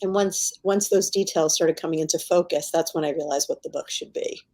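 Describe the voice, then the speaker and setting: Chatty, enthusiastic woman with a lowish voice. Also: steady tone, fairly quick, dark tone. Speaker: author guest. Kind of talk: casual, chatty interview answers.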